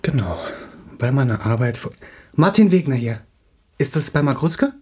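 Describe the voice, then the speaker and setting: Touchy insecure playwright husband, really husky voice, halting speech, radio play. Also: male voice, medium pitch, expressive, darkish tone. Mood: anxious, brooding. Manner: halting, stammering when flustered. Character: insecure, wounded male playwright, husband in a failing marriage. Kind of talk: German radio play acting.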